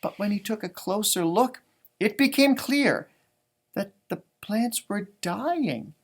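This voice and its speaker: A man's voice: sits high, slow pace. A man reading a storybook aloud to children as a teacher, expressively, with informal explanatory asides. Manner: expressive, explains words and pictures simply for children.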